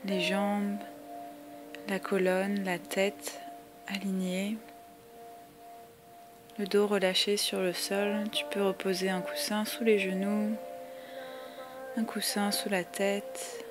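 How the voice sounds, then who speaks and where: A woman guiding a yoga relaxation meditation with calm, soothing, measured instructions and long pauses. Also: mid-range voice. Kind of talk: guided meditation, slow spoken body-scan instructions. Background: music.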